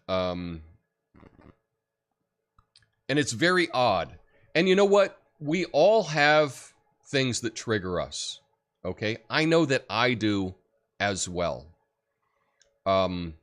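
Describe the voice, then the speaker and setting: A deep-voiced man hosting a livestream, chatting casually and reading viewer comments with lots of fillers. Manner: rambling, relaxed, many fillers and restarts. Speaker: livestream host.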